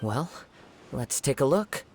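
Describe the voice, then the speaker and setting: Calm, gravelly-edged young swordsman. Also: medium pitch, slight background noise. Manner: measured, formal, reflective. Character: calm, thoughtful young male wandering swordsman. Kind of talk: scripted video game dialogue.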